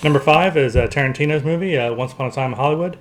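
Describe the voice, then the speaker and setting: A man's voice: on the high side, rapid-fire, slight background noise. A man co-hosting a podcast, chatting casually through a round-up of entertainment news. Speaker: podcast co-host. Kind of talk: casual box office round-up chat.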